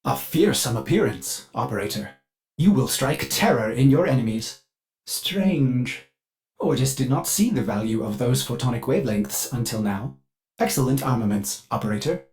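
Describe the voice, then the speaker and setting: A man's voice: medium pitch, animated, normal speed, darkish tone, close to an everyday voice. A man voicing a fussy, servile ship computer AI in a video game, speaking in a chirpy, formal and theatrical way with an undercurrent of violent glitches. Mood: fussy, eager. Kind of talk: video game character voice lines.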